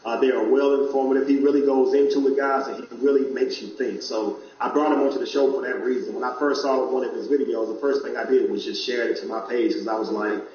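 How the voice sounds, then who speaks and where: A man's voice: mid-range voice, fairly even intonation, quick pace, few pauses. A man hosting an online talk show, speaking earnestly and conversationally to his viewers. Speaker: show host. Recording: distant echoey lo-fi mic.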